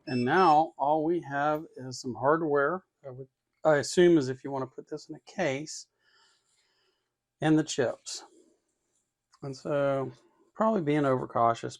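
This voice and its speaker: A man with a medium-pitched voice and plenty of vocal fry talks through hands-on work as a hobbyist host. His delivery is casual and unhurried. He thinks out loud, often half muttering to himself as he goes. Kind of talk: casual hands-on build narration.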